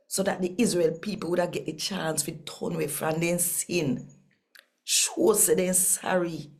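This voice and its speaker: A Jamaican woman reads scripture in a low-pitched voice. She goes slowly and deliberately, shaping each phrase like a storyteller with an expressive, dramatic delivery. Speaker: host and scripture reader. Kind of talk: expressive scripture reading and video narration.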